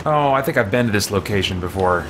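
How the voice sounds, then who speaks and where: A man's voice: lowish voice, animated, quick pace. A man giving casual gameplay commentary in an easygoing way, as if talking to himself. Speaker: host of a gaming stream. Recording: noisy, game engine sounds underneath.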